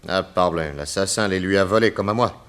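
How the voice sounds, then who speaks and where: An actor playing a bold, self-assured detective hero who narrates and acts in a 1960s French radio drama. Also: male voice, low voice, brisk, a bit bright, smooth. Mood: indignant, cocky.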